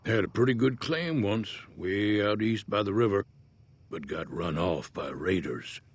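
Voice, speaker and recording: An actor playing an easygoing older American prospector in a Western-style video game, folksy and laconic in manner. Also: male voice, on the low side, unhurried, husky. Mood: matter-of-fact. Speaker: game character, townsfolk prospector. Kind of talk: video game character dialogue.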